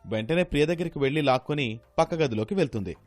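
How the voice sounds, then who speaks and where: A man's voice: fairly high pitch, lively intonation, quick pace, soft background music. A man narrating a scripted story as a storyteller.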